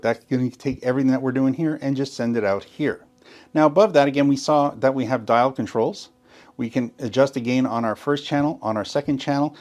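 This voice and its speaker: Enthusiastic man explaining speaker gear. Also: mid-range voice. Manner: enthusiastic, explanatory, repeats "very important". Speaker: gear demonstrator. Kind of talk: tutorial walkthrough.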